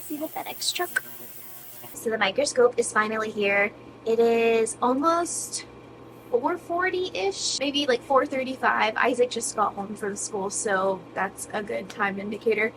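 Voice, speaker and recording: A woman's voice: fairly high pitch, measured pace. Chatty woman filmed from across her workshop. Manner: chatty, talks to herself while working, occasional whispers. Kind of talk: casual workshop vlog. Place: home workshop. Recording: distant camera mic with faint room noise.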